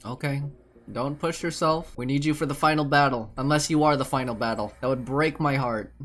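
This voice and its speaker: A man's voice: mid-range voice, a little fast, a bit bright. A man giving casual, playful gaming commentary, reacting expressively as he plays. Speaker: gaming streamer. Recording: game music behind commentary.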